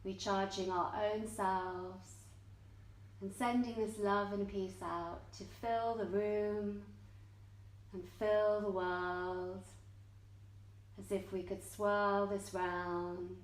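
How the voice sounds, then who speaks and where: Female meditation guide, unhurried and gentle, mid pitch, echoey studio space. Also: steady tone. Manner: gentle, measured, short phrases. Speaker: yoga nidra teacher. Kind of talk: guided meditation, slow soothing instruction with long pauses. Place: indoor yoga studio, live class. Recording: roomy, echoing yoga studio.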